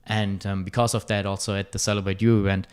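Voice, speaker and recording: A man's voice: lowish voice, level tone, fast talker, clear, resonant tone. A young man from Germany, chatting on a podcast in a hesitant way, with many fillers. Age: young adult. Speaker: student club director, podcast guest. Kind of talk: casual podcast chat.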